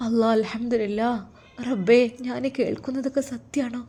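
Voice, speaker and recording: A woman's voice: on the high side, steady tone, moderate pace, warm tone, slightly rough. A woman voicing a doting, emotional older father in a story, with a Kerala Malayalam accent. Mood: emotional, forgiving. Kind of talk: storytelling character voice.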